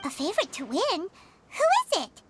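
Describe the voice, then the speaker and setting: A woman's voice: very high-pitched, very animated, moderate pace, very noisy. A woman voicing a small, curious, childlike companion in a video game. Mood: surprised, curious.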